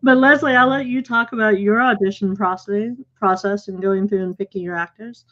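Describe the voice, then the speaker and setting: A woman's voice: medium pitch, fairly even intonation, fairly quick, bright, forceful tone. A woman hosting a casual panel discussion as a theater director over a video call. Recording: slightly dull lo-fi video call audio.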